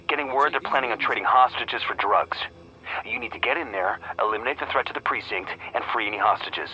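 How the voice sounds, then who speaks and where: An actor playing an urgent, commanding officer giving an emergency briefing over the radio in a video game. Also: male voice, mid-range voice, quick pace, pushed and bright, poor audio. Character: urgent male commander dispatching an agent to emergency.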